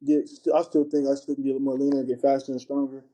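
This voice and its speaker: A young college football player from Cleveland speaking haltingly, with many restarts and "you know.". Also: male voice, mid-range voice, flat delivery, dark tone. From Cleveland, Ohio. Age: young adult. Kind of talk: casual podcast chat.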